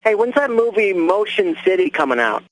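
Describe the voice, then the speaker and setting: A dim, confused caller on a game's radio station. His voice sits a bit high, with a faint hiss behind it. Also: sing-song, moderate pace, a bit bright, poor audio. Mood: dopey. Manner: dopey, confused. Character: clueless dim-witted male radio caller.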